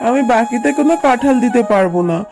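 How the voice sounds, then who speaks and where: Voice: mid-range voice, animated, fast, natural voice. A performer voicing a stingy, dismissive tiger uncle who sells fruit in a cartoon, with a curt, scornful manner. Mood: dismissive, annoyed. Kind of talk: children's cartoon voice acting. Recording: faint music behind the voice.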